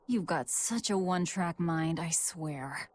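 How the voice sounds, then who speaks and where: Actress as cold young demon woman, lowish voice, deadpan, guarded, game cutscene, faint noise behind. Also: unhurried, natural voice. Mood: dry. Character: cold, vengeful young female demon fighter. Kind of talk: English video game voice acting.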